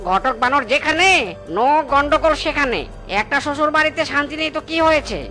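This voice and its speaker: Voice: high voice, fairly quick, pushed and bright, soft background music. A performer voicing a slick, brash male monkey matchmaker in exaggerated cartoon dialogue. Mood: boastful, reassuring. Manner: boastful, sprinkles in English words.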